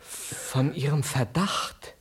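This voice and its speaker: A young male junior official in a German radio play. He is courteous and deferential, choosing words carefully. His medium-pitched voice has a husky grain. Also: very slow, heavily acted. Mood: deferential, cautious. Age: young adult.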